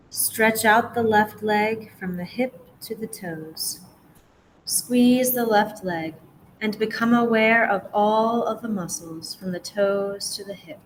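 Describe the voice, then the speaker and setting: A woman guiding a relaxation meditation as a yoga nidra teacher, giving gentle, measured instructions with pauses. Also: mid-range voice, slight background noise, low-quality recording.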